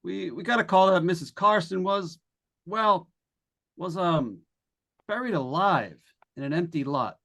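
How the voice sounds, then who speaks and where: A man's voice: high-pitched, animated, vocal fry, a bit bright, full character voice. A man playing a courteous, hesitant, apologetic police officer in an old-time radio suspense play. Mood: apologetic, awkward. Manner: halting, courteous.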